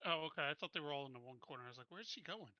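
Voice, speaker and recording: A man's voice: on the high side, expressive, rapid-fire, dry recording, lo-fi sound. A man playing a tabletop game, chatting casually with the group in a blunt, matter-of-fact way.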